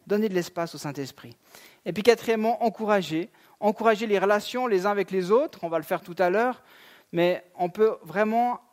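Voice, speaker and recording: A man in his thirties, a pastor giving a sermon in a church, talking in a conversational way with fillers and asides. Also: high-pitched. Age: 30s. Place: church sanctuary.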